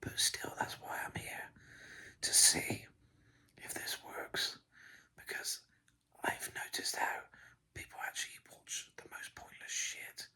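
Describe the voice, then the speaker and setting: A man's voice: takes it slowly, long pauses, sharp tone. A man doing a whispered ASMR ramble, sardonic and sweary, enunciating carefully and poshly with a crackly whisper. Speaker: heavy metal musician making an ASMR video.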